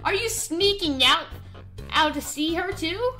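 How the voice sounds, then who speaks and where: A woman voicing an excitable, admiring young monster child in a game. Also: very high-pitched, measured pace, faint music behind, full character voice. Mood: excited. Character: excitable young monster kid, hero-worshipping.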